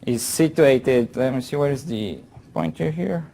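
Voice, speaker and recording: A man's voice: medium pitch, unhurried, creaky, mellow, slight background noise. A man from Brazil with a Brazilian Portuguese accent gives an informal academic presentation, often repeating words as he thinks. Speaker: guest speaker, professor. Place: lecture room.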